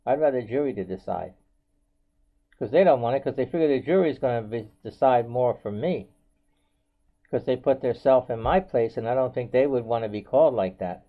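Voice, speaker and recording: A man's voice: medium pitch, expressive, moderate pace. An American man in his seventies talking casually and unscripted to viewers, rambling and circling back with frequent filler phrases. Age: 70s. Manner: rambling, repetitive, often says "you know". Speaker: video blogger. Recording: dull, band-limited home video audio.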